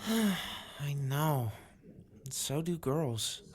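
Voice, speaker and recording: Woman voicing a hesitant, slow-talking young schoolboy. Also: sits low, lively intonation, exaggerated voice. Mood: shy, glum. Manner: hesitant, halting. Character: frightened, shy young schoolboy confiding in a detective.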